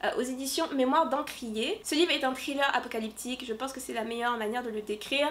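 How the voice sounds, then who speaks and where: A woman vlogger and fantasy author reviewing books in a casual, upbeat way, with frequent filler pauses, filmed in a room she decorated for Halloween. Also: high-pitched, steady tone, fairly bright tone. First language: French. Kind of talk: casual book review to camera.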